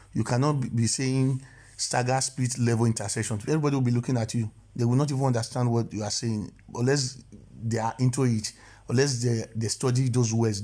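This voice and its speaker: A man's voice: medium pitch, darkish tone. Man explaining driving rules, often restarting. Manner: repetitive, self-correcting, stammers on restarts. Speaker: driving instructor. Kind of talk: explanatory voice-over lesson.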